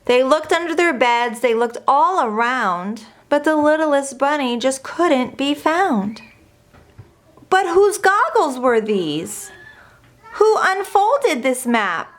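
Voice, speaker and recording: A woman reading a rhyming children's picture book aloud in an expressive, deliberate storytelling manner. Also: high voice. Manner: measured, deliberate storytelling delivery. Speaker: storybook reader.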